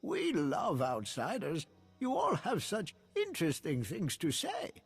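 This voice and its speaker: A friendly, far too welcoming alien villager, curious about every outsider. His voice sits high and swoops widely, and he takes his time. A touch husky, with faint music underneath. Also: wildly swooping pitch, measured pace, heavily acted. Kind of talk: video game voice acting.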